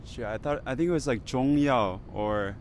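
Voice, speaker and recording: A man's voice: mid-range voice, normal speed, darkish tone. A man in his twenties with an American accent, a student chatting casually in a street interview. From United States. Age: 20s. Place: outdoors on a university campus. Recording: outdoor street with clear traffic noise.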